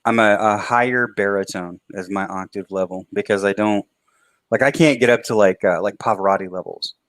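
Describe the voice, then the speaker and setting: A man with a baritone voice and a bit of a twang, telling rambling stories in a casual late-night stream chat. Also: fairly quick, a bit bright, no room sound. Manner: rambling storyteller, restarts a lot. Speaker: stream co-host.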